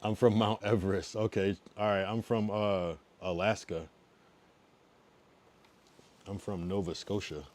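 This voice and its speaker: A man's voice: lowish voice, little pitch movement, measured pace, long pauses. A man in his thirties from the US East Coast, chatting casually and laid-back with viewers on a livestream, trailing off into mumbles. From East Coast US, Massachusetts and Connecticut. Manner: laid-back, drifts loud then mumbly.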